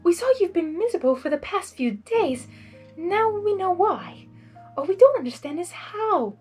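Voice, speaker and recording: A woman's voice: extremely high pitch, animated, moderate pace, a little mellow, faint music behind, pitched above the performer's own voice. A woman voicing a refined, dramatic and caring young female cartoon pony with a genteel manner. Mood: concerned.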